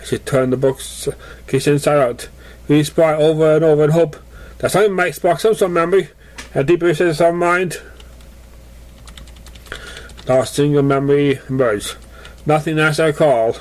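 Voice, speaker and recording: A man's voice: a little high, fairly even intonation, normal speed, long pauses, darkish tone. A man reading a story aloud as an amateur narrator, now and then stumbling over the words. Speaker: amateur fan fiction reader.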